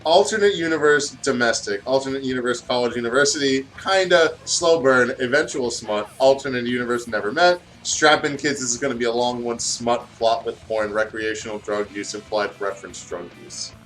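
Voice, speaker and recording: A man's voice: medium pitch, a little fast, few pauses, a bit bright, faint music behind, low-quality recording. A man in casual, giggly chat, reading aloud over a video game. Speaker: guest co-host reading fan fiction.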